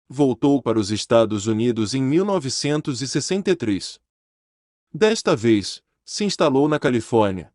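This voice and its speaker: A man's voice: mid-range voice, darkish tone, no room sound. Grave man narrating, dry studio sound. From Brazil. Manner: measured, serious and grave. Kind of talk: scripted documentary narration.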